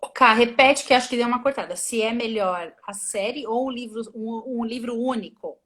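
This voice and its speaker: Chatty higher-pitched woman on a video call. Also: normal speed, fairly bright tone. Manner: chatty, thinks aloud with many restarts. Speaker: translator and reviser, guest. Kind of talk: casual interview over a live stream. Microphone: phone or laptop mic on a video call.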